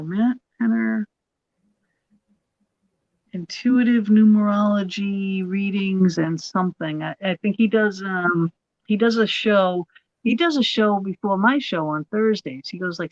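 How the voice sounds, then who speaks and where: A woman from Massachusetts with a Massachusetts accent and a rough voice, chatting bluntly as a paranormal show guest. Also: medium pitch, unhurried, highs cut off. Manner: blunt, laughs easily, rambling restarts. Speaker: paranormal radio show host. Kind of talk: casual chat.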